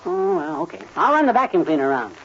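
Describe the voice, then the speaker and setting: An actor playing a boastful, bumbling middle-aged American husband in 1950s radio comedy, with folksy, pompous phrasing. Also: male voice, high-pitched, big pitch swings, fast. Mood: chatty confidence. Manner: folksy, tongue-twisting wordplay, pompous phrasing. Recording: dull, noisy 1950s radio recording.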